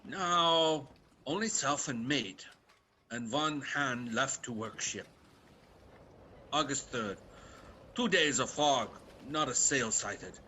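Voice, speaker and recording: Sea captain for radio drama, male actor: bright forceful tone, measured terse delivery, grim, dutiful, afraid. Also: medium pitch. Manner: terse, clipped phrasing. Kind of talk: radio play acting, log narration. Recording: faint background noise.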